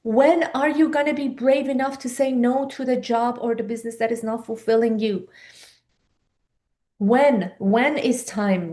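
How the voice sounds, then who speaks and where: Woman coach, 50s, somewhat high, mellow voice, urging. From Iran. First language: Persian. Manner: earnest, urging, rhetorical questions. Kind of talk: live online coaching talk.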